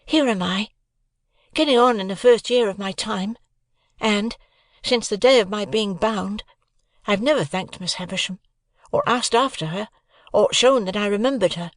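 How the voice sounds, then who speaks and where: Earnest young lad, pleading, pitched way up, a guy putting it on. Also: moderate pace, long pauses, dry recording. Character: earnest young male apprentice.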